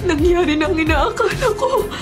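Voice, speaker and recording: Distraught, high-voiced Filipino mother. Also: expressive, fairly quick, music in the background. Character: distraught, pleading wife and mother of grown child. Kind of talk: Filipino radio drama acting.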